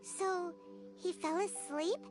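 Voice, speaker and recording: A woman voicing a small, excitable, childlike female fairy companion in a video game. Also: very high-pitched, slow pace. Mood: puzzled. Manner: refers to self in third person, exclamatory. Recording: loud music behind the voice.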